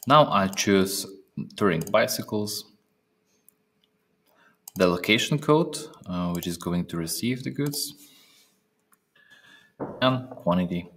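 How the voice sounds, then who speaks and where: Low-voiced man giving a software tutorial. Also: lively intonation, takes it slowly, long pauses, a little hiss or hum. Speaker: software trainer presenting a tutorial. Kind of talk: step-by-step screen demonstration narration.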